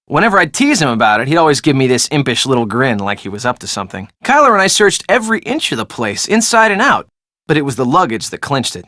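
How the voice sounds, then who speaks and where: Glib young American guy, animated. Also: a little high, dry recording, clean studio sound. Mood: earnest. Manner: casual, conversational. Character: defensive, glib young American man, groom's best friend. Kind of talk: video game dialogue acting.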